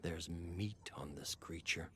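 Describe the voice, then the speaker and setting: A man's voice: low voice, slow pace, a bit bright, slight background noise, pitched below the performer's own voice. A man voicing a desperate, starving fugitive nobleman in a dramatic game story, brooding, anguished and intense. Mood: hushed unease.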